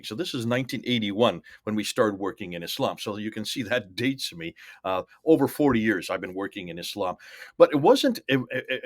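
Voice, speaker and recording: A man's voice: lowish voice, dry recording. Older missionary guy from india, lecturing, leans hard on the numbers, dry close sound. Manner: emphatic, lecturing, repeats numbers for effect. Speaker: missionary guest. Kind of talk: testimony in an interview.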